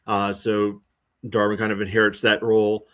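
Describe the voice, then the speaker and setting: A man co-hosting a sports podcast, giving casual analysis in a halting, conversational way. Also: fairly low pitch, monotone, a bit bright. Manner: halting, conversational, peppered with "you know". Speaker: podcast co-host.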